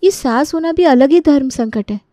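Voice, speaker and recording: Lady doing a young put-upon indian daughter-in-law in a story, highish voice, meek but resentful, really dry audio. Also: animated, moderate pace, dark tone, no room sound. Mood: resentful, complaining. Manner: pleading, complaining. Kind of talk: dramatised Hindi storytelling.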